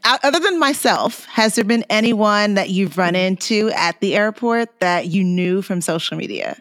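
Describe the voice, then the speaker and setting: A woman's voice: medium pitch, big pitch swings, moderate pace, a bit bright. A woman hosting a show, chatting casually as she interviews a guest. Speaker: podcast host interviewing a guest.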